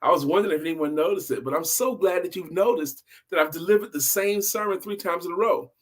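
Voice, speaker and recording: A man telling a story and voicing a gracious, patient male preacher who speaks in a friendly, deliberate way. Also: high voice, pitched above the performer's own voice. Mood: pleased, resolute. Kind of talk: storyteller's acted dialogue.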